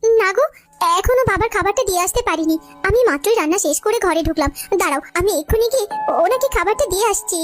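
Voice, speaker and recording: A woman voicing a young daughter-in-law in a family cartoon, theatrical and expressive. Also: extremely high pitch, fast. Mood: caring. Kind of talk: cartoon folk-tale voice acting. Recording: loud music behind the voice.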